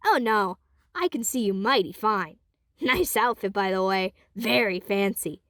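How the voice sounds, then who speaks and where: A woman's voice: mid-range voice, lively intonation, measured pace, a bit bright, dry recording, clean studio sound, voice pushed down. A woman doing a folksy forest stranger. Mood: amused. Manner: folksy, teasing. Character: disheveled cheerful man lurking in woods.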